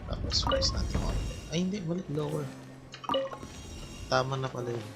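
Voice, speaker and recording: A man's voice: mid-range voice, brisk, gravelly. Offhand Filipino man streaming over game music. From Philippines. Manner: offhand, talking to himself in fragments. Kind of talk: casual muttered gameplay chat.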